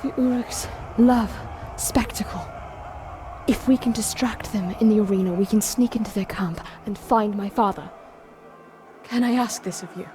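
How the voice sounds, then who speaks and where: A woman's voice: mid-range voice, normal speed, natural voice. A woman playing a determined young warrior in a fantasy game, earnest and worried. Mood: urgent, worried. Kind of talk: cinematic fantasy game acting. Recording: clear ambient noise behind.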